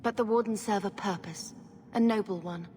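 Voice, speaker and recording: Here is an actress as a devout young warden in a video game. She is a resolute woman, earnest and reflective, with a medium-pitched voice. Long reverb surrounds it, with faint ambient noise. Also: normal speed, dark tone, close to an everyday voice. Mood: earnest, wistful. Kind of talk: dramatic video game cutscene acting.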